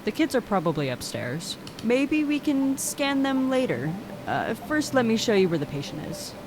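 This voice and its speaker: A woman's voice: fairly high pitch, very animated, normal speed, background noise. A woman playing an anxious, polite wife in a video game, speaking hesitantly. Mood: guarded politeness.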